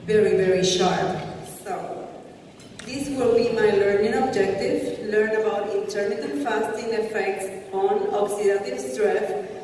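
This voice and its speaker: A woman's voice: mid-range voice, darkish tone, slight background noise. Puerto Rican woman physician lecturing in a hall. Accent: Puerto Rican Spanish. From Puerto Rico. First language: Spanish. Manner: quick, informal, with non-native phrasing. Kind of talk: conference lecture. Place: large conference hall. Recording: echoey hall from far off.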